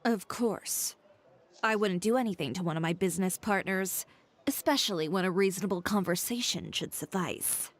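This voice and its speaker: A woman voicing a composed, blunt businesswoman in a video game, crisp and direct. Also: medium pitch, moderate pace, close to an everyday voice. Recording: game audio with faint music.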